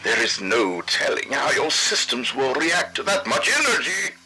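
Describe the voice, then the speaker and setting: An actor playing an armored metallic warrior in a superhero audio drama. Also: male voice, mid-range voice, sing-song, moderate pace, natural voice, poor audio. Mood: urgent warning. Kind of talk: scripted audio drama acting.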